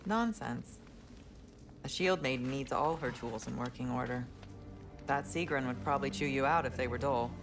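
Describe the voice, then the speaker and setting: A woman's voice: extremely low pitch, normal speed, music in the background. An actress playing a dignified noble queen in a game, stern but caring, with dry wit. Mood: firm. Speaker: leader of refugee people.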